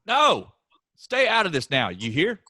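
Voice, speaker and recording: A man reading the part of a gruff, scornful middle-aged farmer father, quick to anger. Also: high voice, huge pitch swings, pushed and bright, no echo, studio quality, exaggerated voice. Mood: angry. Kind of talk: staged play reading.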